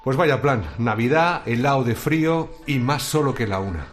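An actor playing a chatty, grumbling middle-aged night security guard with a sore back in a radio drama. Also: male voice, medium pitch, lively intonation, moderate pace, natural voice.

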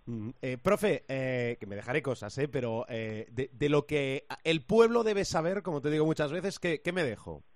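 A man's voice: mid-range voice, moderate pace, hardly any pauses, no echo. A man hosting casual sports talk, prompting colleagues with hesitant phrasing. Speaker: sports show host.

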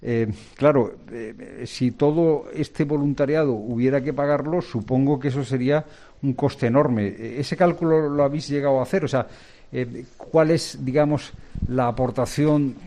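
A man's voice: medium pitch, moderate pace, warm tone. A man interviewing people on location as a reporter, chatty and probing.

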